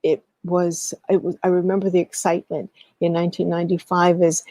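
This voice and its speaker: Middle-aged woman, interview guest, earnest and deliberate, relaxed mellow tone, frequent vocal fry, pauses mid-thought. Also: lowish voice, no echo. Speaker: guest, historian and educator. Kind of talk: interview storytelling, recounting past work.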